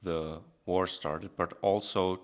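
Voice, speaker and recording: A man's voice: low voice, fairly even intonation, slow. A German man with a German accent, hosting and interviewing on a podcast.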